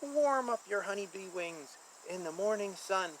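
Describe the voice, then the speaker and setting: A man reading a children's picture book aloud and explaining it informally in simple terms, with an animated manner. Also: high-pitched, normal speed, a little hiss or hum, poor audio.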